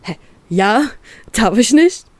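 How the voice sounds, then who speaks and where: A woman's voice: on the high side, sing-song, takes it slowly, dry recording, full character voice. Woman voicing a teasing, tender young man. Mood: playful, curious. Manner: playful, gently coaxing.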